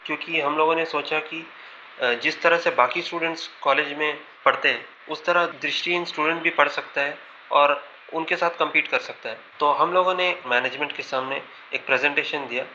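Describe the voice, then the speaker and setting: A man's voice: fairly high pitch, fairly even intonation, fairly quick, sharp tone. A young Indian man, a student, answering interview questions over a phone call. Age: young adult. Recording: dull, noisy phone line.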